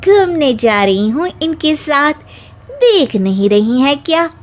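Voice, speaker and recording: A woman's voice: sits high, sing-song, slow, exaggerated voice. A woman playing a cheeky young witch who poses as a bride in a comic audio story, talking back theatrically. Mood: defiant. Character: sassy young female witch posing as a new bride. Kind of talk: Hindi comic story acting.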